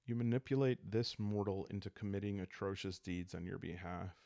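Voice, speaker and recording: Low, gravelly man, calmly narrating. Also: no room sound, studio quality. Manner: soft-spoken, calm and unhurried, thinking aloud. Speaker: host playing a solo journaling roleplay game. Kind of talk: calm, improvised storytelling game playthrough for sleep listening.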